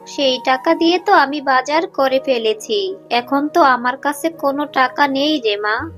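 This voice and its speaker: Woman playing weary widowed mother fox, high voice, sorrowful pleading, gentle, cartoon, background music. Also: full character voice. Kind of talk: children's cartoon story dialogue. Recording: music behind the voice.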